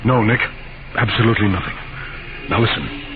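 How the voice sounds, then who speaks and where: An actor playing an anxious, secretive banker in an old-time radio drama, urgent, confiding and insistent. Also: male voice, fairly low pitch, expressive, a little fast, narrow, tinny audio, natural voice. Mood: furtive, uneasy. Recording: very noisy, thin old radio recording.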